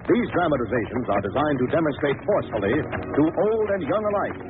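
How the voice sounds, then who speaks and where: A man's voice: on the high side, very animated, fairly quick. A man doing emphatic, declamatory scripted announcing and narration in a 1940s radio style. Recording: tinny noisy old radio broadcast.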